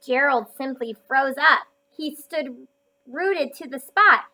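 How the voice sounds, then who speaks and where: A woman reading a children's picture book aloud in an expressive, emphatic storytelling manner. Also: sits high, slow pace, poor audio.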